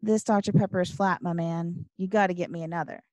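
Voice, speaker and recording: A woman's voice: on the low side, steady tone, brisk, darkish tone, no echo, close to an everyday voice. A woman voicing a brash, melodramatic seventh-grade boy who gripes theatrically in slangy audiobook dialogue. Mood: demanding.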